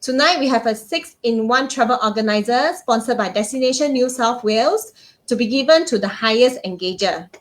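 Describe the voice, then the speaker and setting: A woman's voice: a little high, animated, brisk. A woman co-hosting a travel livestream, upbeat as she reads out announcements. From Singapore. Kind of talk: casual live-stream chat.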